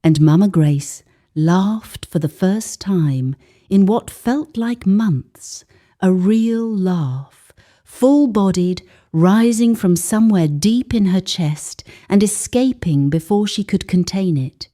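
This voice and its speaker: A woman narrating a scripted dramatic story in a solemn, measured manner with deliberate pauses for emphasis. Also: medium pitch, animated, dark tone.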